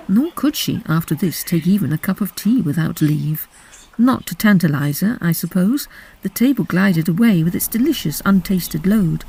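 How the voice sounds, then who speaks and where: A woman giving a dramatic storybook narration, expressive and deliberately enunciated. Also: fairly low pitch, husky, rough recording. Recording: faint background noise.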